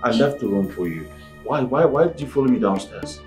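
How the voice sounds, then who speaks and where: An actor playing a domineering, scornful husband in a melodramatic film, forcefully repeating curt commands in an echoey room. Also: male voice, medium pitch, expressive, brisk, lightly acted. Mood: exasperated, scolding. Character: domineering, scornful husband rejecting his wife. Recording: echoey room with music over it.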